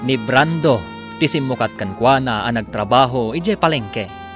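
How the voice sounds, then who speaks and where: Animated, theatrical male radio serial announcer. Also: medium pitch, unhurried, music in the background. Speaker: radio drama narrator and announcer. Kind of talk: scripted serial narration, dramatic announcing.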